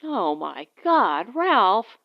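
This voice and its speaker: A woman's voice: medium pitch, sing-song, drawn-out words, vocal fry, dull audio, exaggerated voice. A woman voicing an anxious but plucky young wife in a space adventure audiobook, questioning and vulnerable. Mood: horrified.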